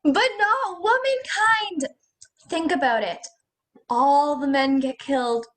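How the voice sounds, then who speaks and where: A woman's voice: high voice, slow, fairly bright tone. A teenage girl playing an indignant, stubborn diva actress in a stage comedy, arguing in a declamatory way over a video call. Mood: scornful, defiant. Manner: declamatory, argumentative.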